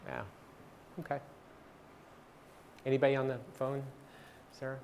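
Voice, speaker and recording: Halting man presenting slides. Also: mid-range voice, completely flat delivery, vocal fry, a little mellow, a little hiss or hum. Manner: halting, many fillers and restarts. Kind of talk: presenting slides at a livestreamed training session.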